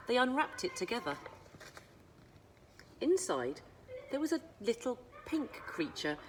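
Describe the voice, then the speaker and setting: Woman storyteller, lower-pitched, lively intonation and dramatic emphasis, children's book narration, slight background noise. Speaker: school librarian reading aloud.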